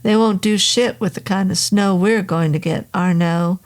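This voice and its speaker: A woman's voice: mid-range voice, fairly even intonation, normal speed, natural voice. A woman doing a joking old caretaker. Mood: easygoing. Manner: easygoing, joking. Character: congenial sixty-year-old male caretaker, joking.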